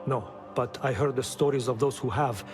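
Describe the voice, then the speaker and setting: An actor playing a shady, self-justifying informant in a video game, smooth-talking and evasive. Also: male voice, medium pitch, moderate pace, faint music behind, lightly acted. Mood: evasive, earnest. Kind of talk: dramatic game cutscene acting.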